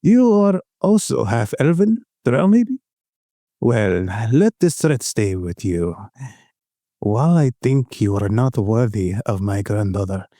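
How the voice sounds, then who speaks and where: A man playing a gruff, overbearing grandfather who is proud, stern and threatening, in an audio drama. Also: warm tone, no room sound. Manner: stern, teasing, booming laughs. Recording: faint music behind a dry voice.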